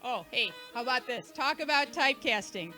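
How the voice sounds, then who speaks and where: A woman's voice: high voice, animated, fairly quick, sharp tone, faint music behind. A woman hosting an event, giving an informal, chatty, joking thank-you speech to a live audience. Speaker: event host and organiser.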